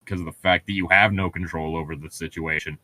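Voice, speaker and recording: Low-voiced man, close and dry. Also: steady tone, fast, bright, forceful tone, no echo. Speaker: co-host of a book read-along show. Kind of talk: casual banter mixed with reading a novel aloud.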